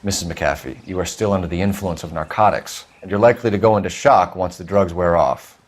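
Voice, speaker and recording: An actor playing an earnest, polite but firm American police detective in a video game drama, questioning in a formal, measured and insistent way. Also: male voice, low voice, a little hiss or hum, lightly acted. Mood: firm, grave.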